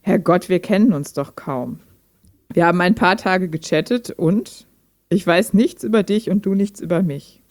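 A gentle, guarded female chatbot, acted by a woman speaks in a lowish voice with a dry studio sound. She is thoughtful and conversational, like a person in an intimate radio drama. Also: moderate pace, no echo, lightly acted. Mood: guarded, wry. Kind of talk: intimate German radio play dialogue.

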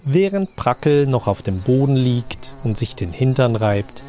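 A man's voice: medium pitch, very animated, measured pace, dark tone. A man narrating a scripted German radio play as a storyteller in the present tense.

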